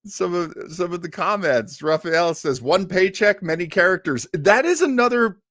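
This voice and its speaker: A man's voice: high-pitched, expressive, moderate pace, a bit bright, dry recording, clean studio sound. A man hosting a casual show about old-time radio, chatting in a rambling, jokey way. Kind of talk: casual livestream chat reading viewer comments.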